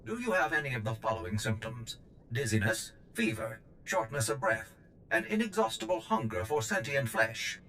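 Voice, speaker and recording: An actor playing a polite, precise, matter-of-fact medical droid in a sci-fi video game. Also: male voice, on the low side, sing-song, normal speed, bright, forceful tone, a little hiss or hum, exaggerated voice, rough recording. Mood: clinical. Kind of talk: acted video game dialogue.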